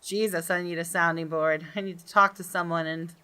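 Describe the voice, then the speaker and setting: A woman's voice: fairly low pitch, fairly even intonation, a little fast, bright, forceful tone, clear, resonant tone. A woman gaming host doing a let's play, reading story narration aloud and commenting to viewers. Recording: faint music behind. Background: faint game music.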